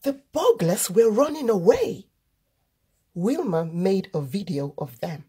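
A woman reading a children's storybook aloud, deliberately, word by word, as the host of a read-aloud. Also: medium pitch, sing-song, dark tone, husky. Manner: deliberate, word-by-word delivery for early readers.

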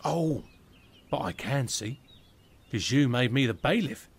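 A man's voice: mid-range voice, lively intonation, unhurried, husky. Man playing a cheeky, lilting working-class English serf. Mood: smug. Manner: colloquial, slyly insistent. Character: cheeky, nosy, needling medieval serf turned bailiff. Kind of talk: comedy audio drama acting.

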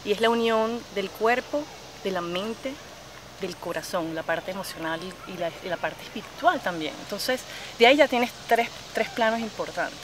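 Animated Venezuelan-accented woman outdoors. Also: medium pitch, normal speed. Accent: Venezuelan Spanish. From Chile. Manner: animated, gestures with her hands, many restarts. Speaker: yoga instructor. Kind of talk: outdoor interview. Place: outdoors by a park lake. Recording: outdoor noise behind the voice.

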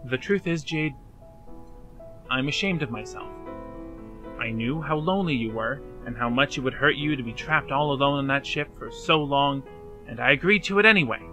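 A man's voice: mid-range voice, moderate pace, over music, natural voice. A man playing an anxious, self-blaming young man in a fan dub drama, speaking earnestly and hesitantly. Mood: ashamed, confessing.

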